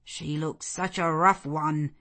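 A woman's voice: sits low, fairly even intonation, slow pace, pushed and bright, heavily acted. Storybook role: male footman, voiced by a woman, humble, plain-spoken, deferential to gentry. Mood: flustered, respectful.

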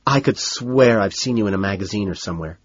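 A man's voice: fairly low pitch, quick pace, slightly rough, no echo, dull audio. A man voicing a pudgy, effete dog owner in his late fifties, flirtatious and fussy, with a lisp. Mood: shy flirtation. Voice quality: lisping. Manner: fussy, coy, gushing.

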